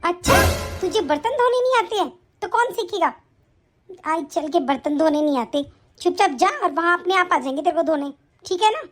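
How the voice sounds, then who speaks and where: A woman's voice: very high voice, animated, normal speed, faint music behind. A woman voicing a bossy, nagging aunt and daughter-in-law who scolds and complains in a family skit. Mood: scolding. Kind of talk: comic family skit acting.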